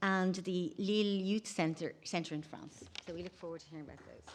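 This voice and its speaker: A woman's voice: on the low side, normal speed, a little hiss or hum. A woman moderating a conference session, thanking one speaker and introducing the next in a loose, chatty way. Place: conference room.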